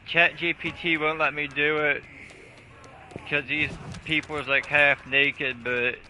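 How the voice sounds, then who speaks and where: A man's voice: on the high side, little pitch movement, unhurried, pushed and bright, slight background noise. A man streaming a game live, chatting casually with crude, profane jokes. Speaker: gaming streamer.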